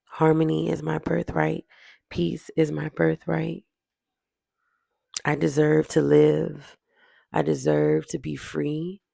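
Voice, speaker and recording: A meditation guide speaking in a soothing, deliberate way, repeating affirmations with drawn-out pauses. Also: mid-range voice, monotone, creaky, warm tone, no room sound, clean studio sound.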